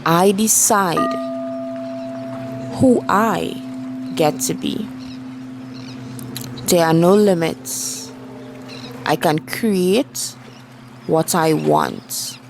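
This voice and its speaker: A woman leading a guided meditation with spoken affirmations, soothing and deliberate, leaving long pauses between short phrases. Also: lowish voice, gravelly, background noise. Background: soft background music.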